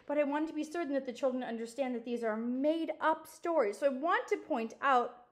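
A woman voicing a patient grown-up teacher who explains things gently to her second graders. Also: sits high, brisk, pitched above the performer's own voice, lightly acted. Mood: kind. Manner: gently instructive, explains to children. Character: patient grown-up female schoolteacher.

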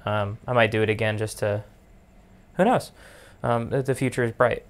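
A young man in his twenties, losing his voice, rambling in a relaxed way as host of his own podcast from his bed at home. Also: lowish voice, normal speed. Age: 20s. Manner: relaxed, meandering, many fillers. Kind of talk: casual solo podcast monologue.

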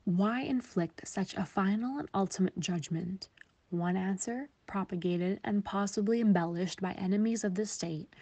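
A woman reads scholarly prose from a script in a mellow, relaxed voice of medium pitch. The sound is dry, with no room around it. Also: vocal fry, dry recording. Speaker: reader of quoted passage. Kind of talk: scripted narration, reading a scholarly text.

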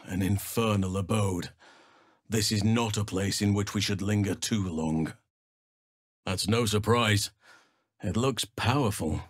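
An actor playing a gentle, solemn, protective elf druid in a fantasy video game, speaking in measured, earnest, formal phrases. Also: male voice, fairly low pitch, no room sound, clean studio sound. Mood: calm, thoughtful.

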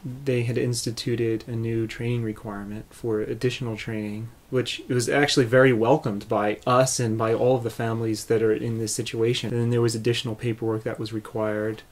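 A man in his thirties speaking reflectively in a documentary interview, pausing to find words. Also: mid-range voice, flat delivery. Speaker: adoptive parent, interviewee. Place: living room, indoors.

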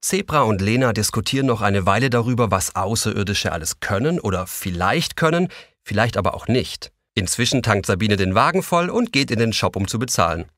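A man with a fairly low voice serves as the narrator of an audio play for children. He reads the scripted story as a storyteller. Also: animated, fairly quick, a bit bright, dry recording, studio quality.

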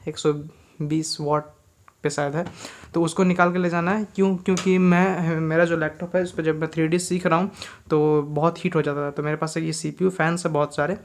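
A man's voice: on the high side, flat delivery, brisk. A man in his twenties in casual vlog talk to the camera, chatty and joking as he explains what he is doing, recorded on a phone held at arm's length in a small bedroom. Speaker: vlogger. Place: small bedroom at home, indoors.

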